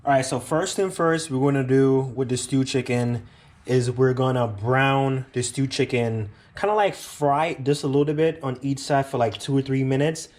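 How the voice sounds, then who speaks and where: A man's voice: mid-range voice, fairly even intonation, normal speed, a little mellow, roomy sound. A man with a Jamaican accent, chatting to viewers about his cooking in a casual vlog style from his home. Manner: chatty, storytelling, addresses viewers directly. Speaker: cooking vlogger. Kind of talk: casual cooking show chat. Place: small apartment living room, then home kitchen.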